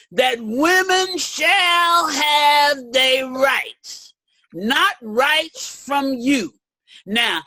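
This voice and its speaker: An impassioned suffragist orator, acted by a woman, declaims for her rights in a reader's theater piece. Her voice is high, forceful and animated, with emphatic delivery and a very dry sound. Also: no room sound, heavily acted.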